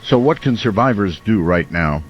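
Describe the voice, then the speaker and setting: A man plays a small-town radio host broadcasting through disaster. His voice is fairly low, earnest and concerned, in a slightly dull radio-drama recording with rain behind. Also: lively intonation, normal speed, faint music behind, close to an everyday voice.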